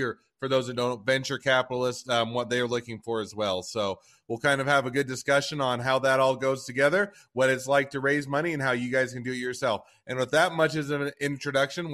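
A man's voice: mid-range voice, a bit bright. A man hosting a podcast interview, introducing his guest and asking loose, self-correcting questions. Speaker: podcast host.

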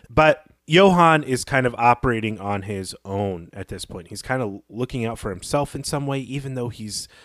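A male co-host discusses fiction in a middle-pitched voice. He sounds reflective, piecing his analysis together as he talks. The recording is dry and free of echo. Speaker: podcast co-host. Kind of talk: conversational literary story analysis.

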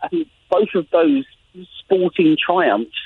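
A man's voice: on the high side, slow pace, a little hiss or hum. An English-accented man, a guest journalist talking animatedly in an interview, often restarting his sentences. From England. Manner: animated, frequent restarts and repetitions. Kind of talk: interview over phone line. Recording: tinny phone line.

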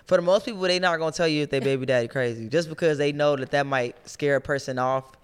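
A woman with a deep voice, co-hosting a podcast in relaxed, slangy casual chat. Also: fast talker, clean tone. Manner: laid-back slangy talk, thoughtful asides. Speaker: podcast co-host.

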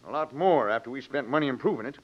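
An actor playing a plain-spoken, aggrieved rancher with a folksy American Western accent in an old radio drama. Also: male voice, mid-range voice, lively intonation, fast, close to an everyday voice. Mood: insistent. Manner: folksy, earnest. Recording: muffled old radio recording.

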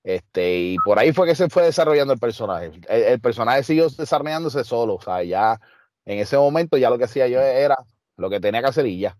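A man's voice: medium pitch, lively intonation, a little fast, a little mellow, no echo, clean studio sound. A man with a Puerto Rican accent, a wrestler and trainer telling stories in an interview, emphatic and streetwise with lots of fillers. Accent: Puerto Rican Spanish. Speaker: wrestler guest. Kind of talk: casual interview storytelling.

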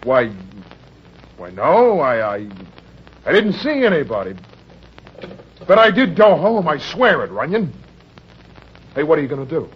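Muffled vintage radio sound, desperate husband, American, husky, agitated, stammering, widely swinging pitch. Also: male voice, big pitch swings, a little slow, pauses often, heavily acted. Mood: desperate pleading. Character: Sam, desperate, frightened down-on-his-luck husband and father. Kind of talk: old-time radio crime drama acting. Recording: dull, lo-fi old radio recording.

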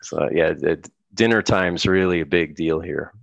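Casting: middle-aged American man, deep gravelly voice, dry close sound, loose storytelling guest. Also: unhurried, no echo. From United States. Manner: rambling, laughs often, many restarts. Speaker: guest musician. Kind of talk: casual interview chat.

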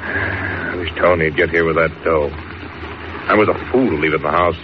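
An actor playing a bossy, irritable racketeer in an old radio drama, talking in gruff tough-guy slang. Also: sits low, steady tone, normal speed, natural voice. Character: bossy, irritable male racketeer gang boss. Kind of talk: 1940s radio acting. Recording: noisy, dull old radio recording.

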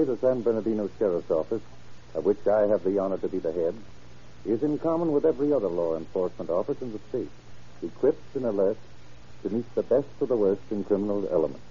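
Husky, lowish-voiced American man making an address. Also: dark tone. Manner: formal, oratorical. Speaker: county sheriff, guest speaker. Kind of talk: scripted radio address. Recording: muffled 1930s radio recording.

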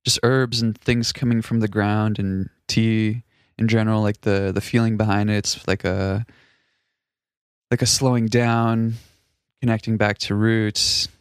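A man whose first language is Russian, telling stories in a relaxed casual chat, swearing freely and acting out what people said. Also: lowish voice, measured pace, clean tone, no room sound, clean studio sound. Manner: relaxed, swears, acts out quoted exchanges. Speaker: DJ guest.

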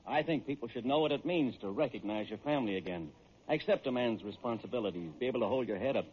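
Male, actor playing sincere reformed athlete, mid-pitched and plain-spoken, vintage radio drama with muffled sound. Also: quick pace, a little hiss or hum, lightly acted. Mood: earnest. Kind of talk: 1940s radio acting.

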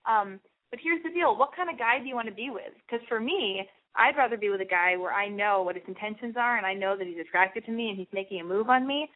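A woman's voice: mid-range voice, quick pace, narrow, tinny audio. A woman hosting a radio call-in advice show, giving blunt, frank, bossy advice to callers.

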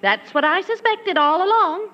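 An actress playing a sharp-witted, sceptical housewife in a 1940s radio comedy, sarcastic and challenging. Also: female voice, extremely high pitch, lively intonation, normal speed, background noise. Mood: scolding. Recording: reverberant, dull old radio recording.

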